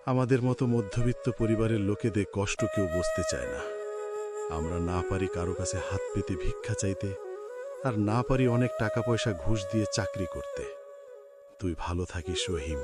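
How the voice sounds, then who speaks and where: A man's voice: fairly low pitch, normal speed, pauses often, lightly acted. A man playing a despairing but proud poor husband in a cartoon story, with a Bangladeshi accent and a plaintive, pleading delivery. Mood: hurt, resigned. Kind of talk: dramatic cartoon story acting. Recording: loud music bed under voice. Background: music.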